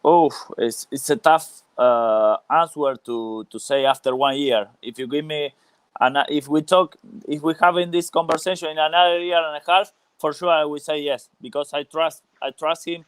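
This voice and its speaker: Guy being interviewed, smooth clear voice, halting, repeats stuff, dry sound. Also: a little high, dry recording. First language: Spanish. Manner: halting, searching for words, repeats phrases. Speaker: former professional footballer, interview guest. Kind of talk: casual live interview.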